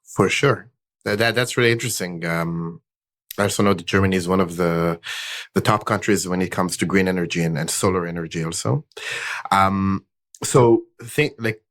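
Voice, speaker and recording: A man's voice: deep voice, moderate pace, fairly bright tone. A man hosting a podcast, who stumbles and repeats words as he asks his questions. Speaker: podcast host. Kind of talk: casual interview talk.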